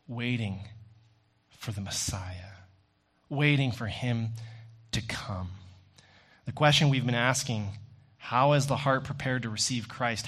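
A man preaching a sermon in an earnest, deliberate manner with rhetorical phrasing. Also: fairly low pitch, a bit bright.

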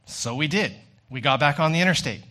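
A man's voice: a little high, animated, brisk, bright, forceful tone. A man preaching a sermon in a conversational storytelling way, speaking directly to his listeners.

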